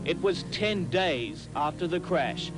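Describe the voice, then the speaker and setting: A man's voice: fairly high pitch, expressive, slow pace, rough recording. A man with an Australian accent narrating a scripted television news documentary as a reporter. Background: music.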